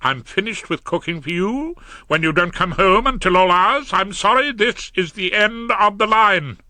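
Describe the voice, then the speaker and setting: A man's voice: high-pitched, animated, moderate pace, pushed and bright, dry recording, pitched above the performer's own voice, heavily acted. A stern imperious wife, as a man voices her. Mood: indignant. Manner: clipped, imperious and reproachful. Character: stern domineering middle-aged wife, indignant. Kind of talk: audiobook character voice.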